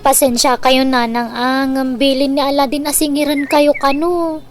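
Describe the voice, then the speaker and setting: A woman's voice: high-pitched, little pitch movement, normal speed, soft background music, lightly acted. A woman playing a young newlywed wife caught between mother and husband in comedic radio drama, apologetic, with an Ilocano accent.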